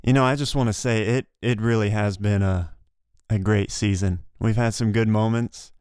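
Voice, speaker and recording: A man's voice: fairly low pitch, steady tone, moderate pace, darkish tone, clean tone, no echo, clean studio sound. A man co-hosting a podcast in a casual, conversational style. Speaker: podcast co-host.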